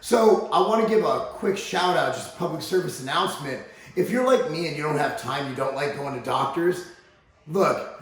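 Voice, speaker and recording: Echoey, higher-pitched American man, slightly hoarse. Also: fairly bright tone. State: slightly hoarse, voice recovering from laryngitis. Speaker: fitness channel host and supplement executive. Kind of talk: casual fitness vlog talk to viewers. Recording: very echoey, reverberant room.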